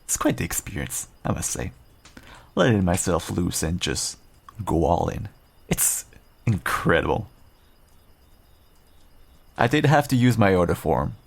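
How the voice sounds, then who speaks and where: Deep, gravelly werewolf rogue, acted by a man. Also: full character voice. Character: cloaked werewolf rogue adventurer, a calm protective savior. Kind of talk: roleplay audio drama addressed to the listener.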